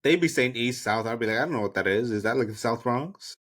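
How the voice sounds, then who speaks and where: A man's voice: mid-range voice, animated, quick pace, a bit bright. A man co-hosting a podcast, giving casual reactions and commentary in sassy slang with some swearing. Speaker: podcast co-host.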